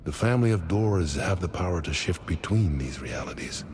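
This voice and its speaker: An enigmatic male sage from a horror game, with a very low voice. He intones riddles solemnly and deliberately over clear background noise. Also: expressive. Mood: ominous. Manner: slow, portentous, deliberate. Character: cryptic, solemn male mystic speaking in riddles. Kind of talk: dramatic video game monologue.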